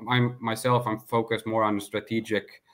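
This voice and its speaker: Thirties man from Finland, low monotone, guest talking business over a webcam call. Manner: measured, flat delivery with filler pauses. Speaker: guest, sports consultant. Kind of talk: video call interview. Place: home room, indoors. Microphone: laptop webcam on video call.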